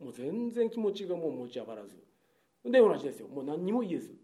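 Fast-talking, animated man chatting on radio. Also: on the high side. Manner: animated storytelling, acts out quoted lines. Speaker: radio show host, improv comedian. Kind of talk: casual comedic chat with co-hosts.

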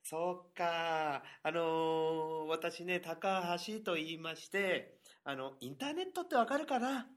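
A man's voice: fairly high pitch, expressive, takes it slowly, fairly bright tone. A man playing a polite, patient, easily flustered telephone salesman in an improvised comedy radio drama, hesitant and coaxing as he talks down to a child.

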